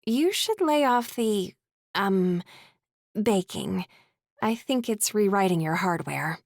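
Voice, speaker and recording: A woman's voice: medium pitch, expressive, slow, creaky, dry recording, studio quality. A female actor playing a worried, skeptical woman in an amateur theater crew, in a full-cast audiobook. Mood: skeptical, dry. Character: anxious, fretting female community theater schemer.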